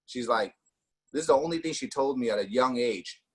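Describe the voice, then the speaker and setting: A man's voice: mid-range voice, expressive, a little fast, husky. A man in his forties from Austria, telling his personal story in a casual way, with many fillers and acted quotes. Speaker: network marketing guest.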